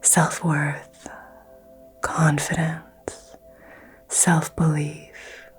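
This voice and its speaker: Slow, low whispering woman. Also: monotone, breathy edge, soft background music. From American South. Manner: soft, slow, soothing whisper. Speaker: ASMR creator doing a crystal healing. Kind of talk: whispered ASMR roleplay.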